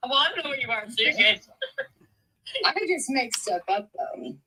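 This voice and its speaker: Woman, Massachusetts accent, bright slightly high voice, teasing and jokey. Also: expressive, unhurried, slightly rough, low-quality recording. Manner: laughs often, jokey and teasing. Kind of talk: casual chat.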